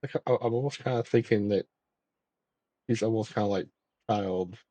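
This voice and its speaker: A woman's voice: a bass voice, normal speed, mellow, breathy edge, no echo. A woman streaming a game, making laid-back, joking remarks over it. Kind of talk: casual commentary over gameplay.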